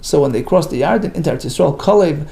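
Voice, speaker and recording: Lively, gravelly man teaching Talmud. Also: fairly high pitch, fast. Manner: reads Hebrew and Aramaic phrases then translates, lively and emphatic. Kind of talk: daily page lesson, reading and explaining.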